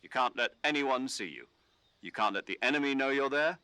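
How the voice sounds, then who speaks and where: A calm commanding officer. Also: male voice, mid-range voice, smooth, dry recording. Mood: steady. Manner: measured, instructive briefing tone. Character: calm British male commanding officer on the radio. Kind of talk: game dialogue.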